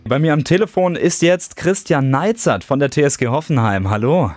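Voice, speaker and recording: A man hosts a podcast in a relaxed, chatty way, pausing on fillers as he lines up his questions. The sound is dry and close, with almost no room. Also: on the high side, dry recording, soft background music. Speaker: podcast host. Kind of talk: casual podcast chat and interview.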